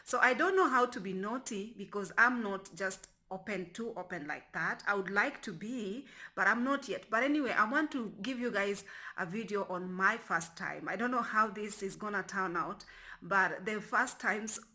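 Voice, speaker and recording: A woman's voice: medium pitch, expressive, moderate pace, bright, forceful tone. A young adult woman from Kenya chatting casually to her camera in a rambling vlog, often restarting her sentences and dropping to a near whisper. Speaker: vlogger.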